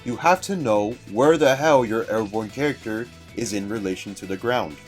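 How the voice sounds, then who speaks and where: A man's voice: fairly low pitch, moderate pace. A man reading a written video game review aloud as scripted narration. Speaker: guest reader of a written review. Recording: music behind the voice.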